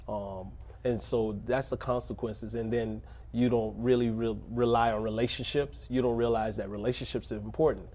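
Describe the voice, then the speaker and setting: A man who grew up in a rough neighborhood, speaking as a pastor in a casual interview, earnest and preacherly, breaking into laughs and jokes. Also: medium pitch, a little mellow, a little hiss or hum. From Little Haiti, Miami.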